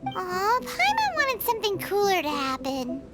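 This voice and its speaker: A very high, bouncy voice of a tiny floating girl companion for a game. She is chirpy and blunt, her pitch jumping all over. Loud music plays behind her. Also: wildly swooping pitch, unhurried, heavily acted. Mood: disappointed. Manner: chirpy, refers to herself by name. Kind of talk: game voice acting.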